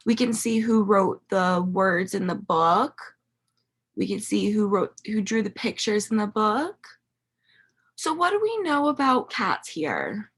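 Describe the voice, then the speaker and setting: A woman reading a picture book to young children like a teacher, expressive and questioning, with dramatic emphasis and a slightly husky voice. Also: a little high. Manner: animated, expressive storytelling with dramatic emphasis and pauses. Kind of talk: interactive children's read-aloud, asking questions.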